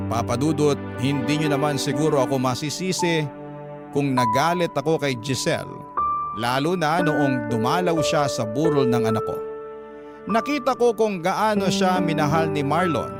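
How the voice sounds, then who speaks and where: A man narrating a listener's letter in the first person as a radio drama host, in a dramatic storytelling style. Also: a little high. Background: loud instrumental music.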